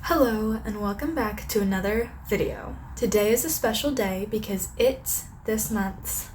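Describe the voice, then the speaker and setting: A woman's voice: mid-range voice, unhurried. A young woman vlogging at home, chatty and rambling, her voice a little strained from a sore throat. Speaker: craft vlogger. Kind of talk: casual vlog narration.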